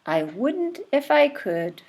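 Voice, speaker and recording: Woman doing perky storybook bee, high voice, proud little statements, bit of noise behind. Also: big pitch swings, slow pace, darkish tone, heavily acted. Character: chirpy wild bees introducing themselves, storybook creatures.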